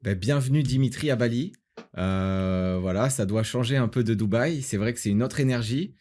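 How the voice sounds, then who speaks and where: A man's voice: medium pitch, normal speed, darkish tone. A man from the south of France hosting a casual podcast as a property agent, explaining things by asking and answering his own questions. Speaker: host, real estate agent.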